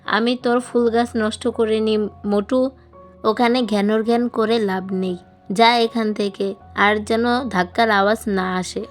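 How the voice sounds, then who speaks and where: A woman's voice: fairly high pitch, fairly even intonation, a little slow, faint music behind, lightly acted. A woman voicing a grumpy young uncle with a grave, dismissive manner. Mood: annoyed, dismissive.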